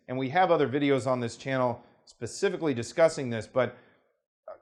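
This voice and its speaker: An American man, an attorney, explaining a legal process in a formal, measured way, with careful legal phrasing, hedges and the odd restart. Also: fairly high pitch. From United States. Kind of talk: unscripted talking-head explanation.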